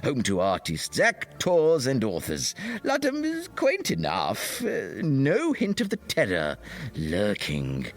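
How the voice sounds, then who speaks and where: A man's voice: mid-range voice, wildly swooping pitch, slow pace, faint music behind, full character voice. A man playing a theatrical, doddery old storyteller of mock-gothic tall tales, hammy and dramatic. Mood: ominous, dramatic.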